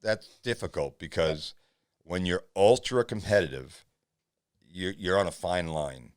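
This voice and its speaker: A man's voice: a little slow, clear, resonant tone, no room sound, clean studio sound. A male podcast host, chatting casually with a guest. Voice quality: booming voice. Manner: frequent brief agreements, thoughtful. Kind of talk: casual interview chat.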